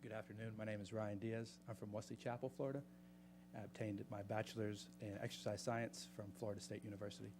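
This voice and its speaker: A man's voice: fairly low pitch, flat delivery, fast, background noise. A man introducing himself and thanking the board on behalf of his class, polite and formal. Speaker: medical student, class spokesman.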